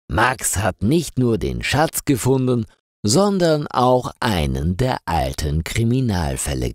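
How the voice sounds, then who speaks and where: A man narrating a scripted story for language learners, reading slowly and carefully articulated with lively intonation. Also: fairly low pitch, dry recording, studio quality.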